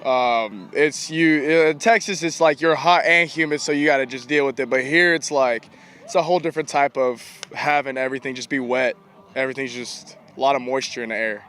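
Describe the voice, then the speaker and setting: A young man, a college ballplayer giving casual, informal interview answers with halting repetitions. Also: medium pitch, a bit bright. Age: young adult. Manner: informal, halting, repeats himself. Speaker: college baseball player being interviewed. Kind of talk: casual post-game style interview answers. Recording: clear outdoor ambient noise.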